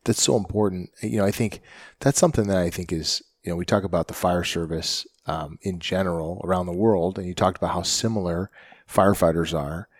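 A man's voice: sits low, fairly quick, no room sound, studio quality. A man hosting a podcast interview, encouraging his guest and often checking with "right?". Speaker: podcast host, firefighter. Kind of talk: casual interview chat.

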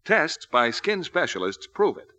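Casting: male vintage radio pitchman, American, crisp forceful projection, very animated, dull old-recording sound. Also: measured pace. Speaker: radio announcer. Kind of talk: 1940s radio commercial announcing.

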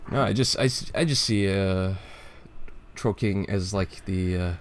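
A man's voice: sits low, measured pace, a little hiss or hum. A young man co-hosting a podcast, talking casually and laughing along. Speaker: podcast co-host. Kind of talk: casual chat.

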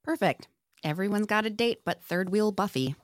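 A woman plays a sarcastic young heroine, brave but fed up, in an audio table read. The delivery is lively in pitch and recorded close, with no room sound. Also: normal speed, dry recording, lightly acted. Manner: dry, sarcastic. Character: Buffy, wry, dejected young woman heroine, bossy and brave. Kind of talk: podcast script table read.

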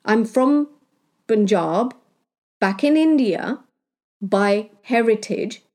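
A woman from Kenya, a spiritual healer, talking in a slow, deliberate monologue that she punctuates with "right?". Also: fairly high pitch, a little mellow. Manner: slow, deliberate, with tag questions. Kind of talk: reflective monologue.

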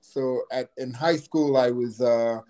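A man's voice: medium pitch, fairly even intonation, takes it slowly, warm tone. A middle-aged man from Trinidad, a guest on an interview show, telling his life story in an easygoing way and often filling with you know.

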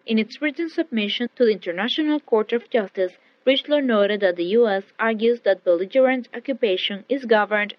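A woman's voice: a little high, dry recording. A woman reading scripted international news as a radio newsreader. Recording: dull, band-limited shortwave radio audio.

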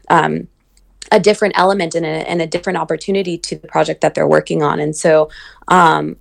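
A woman's voice: fairly low pitch, creaky. A woman who grew up between the United States and Nigeria, founder of a venture capital firm, answering interview questions thoughtfully, with frequent fillers and restarts.

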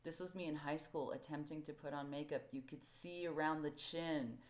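A woman co-hosting a podcast in casual chat, her voice hoarse from yelling, talking in a chatty, animated way with plenty of fillers. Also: sits low, moderate pace. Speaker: podcast co-host.

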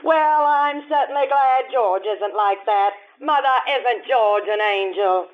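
An actress playing a haughty, sharp-tongued young bride-to-be from high society, with an imperious, sarcastic delivery in an old-time radio style. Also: female voice, measured pace, close to an everyday voice. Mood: airy, teasing. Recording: tinny band-limited stage radio show recording.

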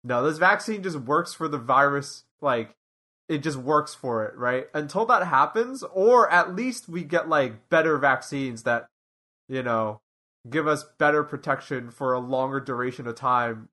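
Rambling man in his late twenties, bright voice. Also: medium pitch, unhurried. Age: 20s. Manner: rambling, many restarts and fillers. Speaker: podcast co-host. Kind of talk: casual chat.

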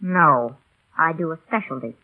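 A woman's voice: deep voice, moderate pace. Sassy young showgirl, tinny old radio. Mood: indignant. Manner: wisecracking, plucky. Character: sassy, spirited young female show performer. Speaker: radio drama actor. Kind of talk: 1930s radio drama acting.

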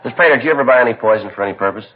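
An actor playing a methodical, polite American police detective in a clipped, deadpan manner in a 1950s radio drama. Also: male voice, mid-range voice, rapid-fire, a little hiss or hum, natural voice. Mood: flat, casual. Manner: deadpan, matter-of-fact, clipped. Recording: dull, muffled 1950s radio recording.

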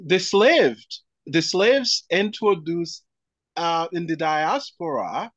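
A man, a scholar answering interview questions in a measured way and spelling out terms letter by letter. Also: on the high side, lively intonation, a bit bright, no room sound, lo-fi sound. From Haiti. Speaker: guest scholar. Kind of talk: academic interview.

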